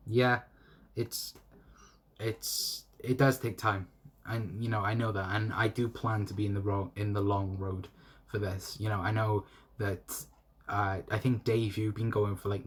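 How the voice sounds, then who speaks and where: Man, South Welsh accent, young adult, husky voice, lowish pitch, rambling livestream chat. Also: fairly even intonation, moderate pace. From South Wales. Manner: rambling, repeats himself, friendly to chatters. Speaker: fan channel host. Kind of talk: solo livestream, reading chat comments.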